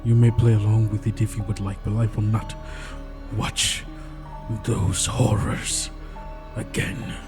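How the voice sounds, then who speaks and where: Man playing a somber, even-toned haunted adventurer. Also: fairly low pitch, measured pace, over music, natural voice. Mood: grim refusal. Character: grim, defiant adventurer haunted by past horrors.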